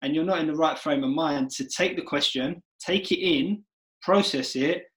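A man's voice: a little high, moderate pace, fairly bright tone. Earnest male podcast host. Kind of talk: interview chat.

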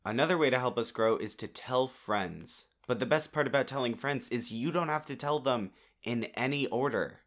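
A man co-hosting a podcast in relaxed, thoughtful chat, with dry humor and lots of "like". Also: medium pitch, normal speed, hardly any pauses. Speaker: podcast co-host.

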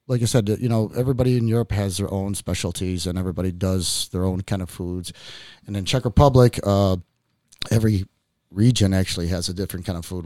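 This is a man from Chicago hosting a studio podcast. His voice sits on the low side, and he talks in a loose, rambling way full of restarts. The recording is dry and close. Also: a little mellow, no echo. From Chicago, United States. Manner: rambling, with many restarts and fillers. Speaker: podcast host. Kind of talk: casual podcast chat.

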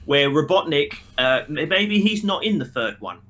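Bright-voiced man in emphatic podcast debate. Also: on the high side, very animated, moderate pace. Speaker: podcast co-host reviewing a film. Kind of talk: casual opinionated discussion.

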